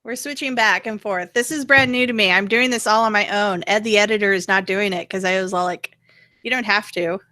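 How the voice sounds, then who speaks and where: A woman's voice: medium pitch, steady tone, fairly quick, pushed and bright, no echo. A woman hosting a live stream in casual, unscripted chat, thinking aloud in an informal way.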